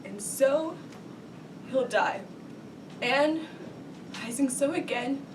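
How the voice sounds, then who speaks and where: A woman performing a grief-stricken noblewoman mother in a Shakespearean stage monologue, with theatrical, drawn-out lamenting. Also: expressive, full character voice. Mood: despairing. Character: grief-stricken noblewoman mother mourning her captive young son. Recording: distant mic, rumbling background noise.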